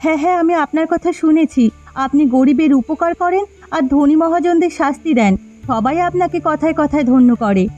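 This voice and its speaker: A woman's voice: high voice, a little fast, warm tone, natural voice. A woman voicing a caring, anxious village housewife in a moral-story cartoon. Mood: kind, cautious. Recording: dull lo-fi sound with music behind.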